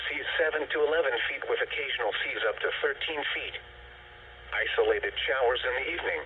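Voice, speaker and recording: A male weather radio announcer comes through a narrow, tinny radio signal with light noise. His voice is mid-pitched and synthetic, reading forecasts and data in a formal, measured, automated way. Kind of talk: scripted marine forecast and weather report reading. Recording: noisy, tinny, band-limited weather radio broadcast.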